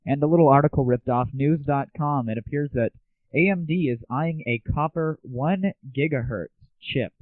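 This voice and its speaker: A man's voice: fairly high pitch, normal speed, dry recording. A man hosting a casual, blunt monologue show about gaming, talking informally with some mild swearing. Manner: informal, mildly profane, blunt. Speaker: show host. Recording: tinny narrow-band phone-like audio.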